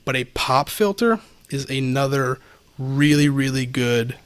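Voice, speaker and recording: A man's voice: mid-range voice, measured pace, clean tone, dry recording. A man giving a casual, practical explanation as a podcast guest, speaking close to a microphone. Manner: informal, explains practically with examples. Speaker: podcast guest, audio expert. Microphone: close podcast microphone, slightly off to the side.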